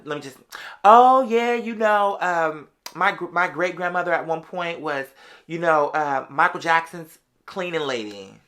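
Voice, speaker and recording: Bright, animated American vlogger chatting casually. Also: mid-range voice. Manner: animated, slangy storytelling. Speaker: online show host. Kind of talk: casual chatty monologue to followers.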